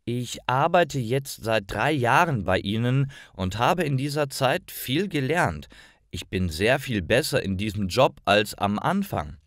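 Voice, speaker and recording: Guy doing a nervous polite office woman from a storybook, slow and careful, lively pitch. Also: no echo, studio quality. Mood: polite, earnest. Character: nervous young female employee asking politely for a raise.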